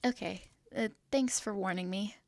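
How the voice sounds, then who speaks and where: A woman's voice: mid-range voice, normal speed, noisy background, lightly acted. A woman voicing a shy young winged girl who travels with her cat, speaking in a hesitant, apologetic way. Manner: hesitant, apologetic, soft-spoken. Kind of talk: fantasy audio drama acting.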